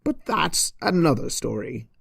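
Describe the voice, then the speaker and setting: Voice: medium pitch, very animated, a little slow, creaky, fairly bright tone, breathy edge, no room sound, studio quality. A storyteller reading a comedic story as an arch, mock-pompous narrator in a theatrical manner. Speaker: fan fiction reader.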